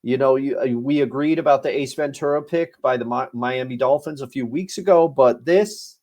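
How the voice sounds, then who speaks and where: A man's voice: medium pitch, moderate pace, a little mellow. Easygoing man with a mid-range voice. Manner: easygoing, emphatic, laughs along. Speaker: podcast co-host. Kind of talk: casual podcast chat and review.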